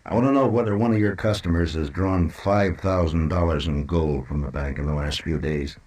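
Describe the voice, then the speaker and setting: An actor playing a weary, authoritative Old West marshal in a radio western, with terse, dry delivery. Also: male voice, low-pitched, normal speed, darkish tone. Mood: firm, dry. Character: stoic, weary, authoritative frontier town marshal. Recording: noisy vintage radio drama recording.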